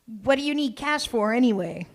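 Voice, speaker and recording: A gruff, dismissive bar regular, read by a woman in a live sitcom table read. The voice sits quite high. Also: animated, moderate pace.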